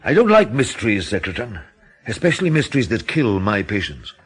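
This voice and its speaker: A sceptical country doctor in a radio drama. The voice is low and husky, with lively pitch movement. He is sober, dry and troubled. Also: brisk, heavily acted. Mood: troubled, questioning.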